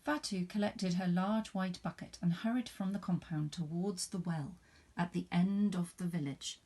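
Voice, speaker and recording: A woman reading a picture book aloud to children in an expressive, animated way, putting questions to young listeners. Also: lowish voice, normal speed. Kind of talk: expressive storybook read-aloud.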